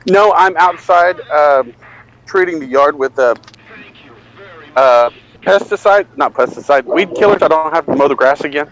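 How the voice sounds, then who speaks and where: A man's voice: fairly high pitch, moderate pace, a bit bright, a little hiss or hum, poor audio. A middle-aged man telling a rambling, self-deprecating anecdote in a casual way, acting out bits of dialogue. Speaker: storyteller.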